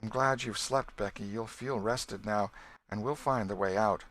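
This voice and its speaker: A man reading an audiobook, voicing a brave, tender young boy in a gentle, reassuring, earnest way. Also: fairly low pitch, moderate pace, no echo. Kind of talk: audiobook character voice.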